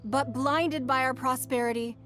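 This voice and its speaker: A woman's voice: high voice, steady tone, normal speed, a bit bright, faint music behind. A woman playing a stately leader in a video game, speaking in a formal, solemn and oratorical way. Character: stately, eloquent female leader addressing her people.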